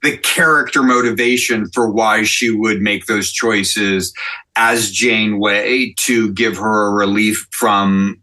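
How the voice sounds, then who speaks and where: A man's voice: fairly low pitch, pushed and bright, rough recording. A man on a podcast panel, talking casually and analytically as he thinks aloud. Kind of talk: casual discussion.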